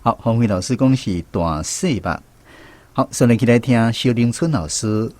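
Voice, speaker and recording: A man's voice: medium pitch, normal speed, a little mellow, no room sound. A man with a Taiwanese accent hosting an educational language quiz on the radio. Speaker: radio host of a language segment.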